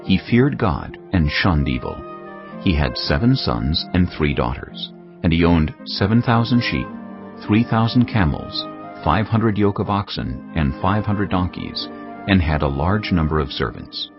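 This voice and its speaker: A man narrating scripture in a grave, measured way. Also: low-pitched, expressive. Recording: dull lo-fi recording. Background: cinematic music.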